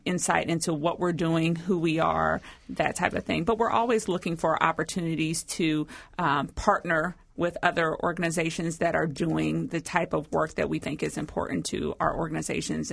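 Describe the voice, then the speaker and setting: A woman's voice: sits low, flat delivery, moderate pace, vocal fry, hardly any pauses, husky. A woman speaking as a guest on a radio interview, answering a caller in a friendly, upbeat way. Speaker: chamber of commerce director, studio guest. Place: radio studio.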